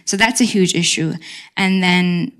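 A woman's voice: on the low side, measured pace, a bit bright, clean tone. A young woman on a panel in a university seminar room, telling her own settlement story in an earnest, frank and reflective way. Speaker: panelist sharing lived experience.

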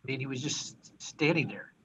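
A man's voice: mid-range voice, normal speed, fairly bright tone, rough recording. A male reporter putting pointed, skeptical questions to a coach at a press conference.

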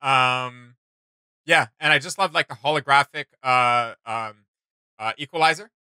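A young man chatting casually as a reaction video co-host, enthusiastic and animated, with slangy phrasing. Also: mid-range voice, unhurried, clear, resonant tone, dry recording, clean studio sound. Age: young adult. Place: small indoor room with gaming setup.

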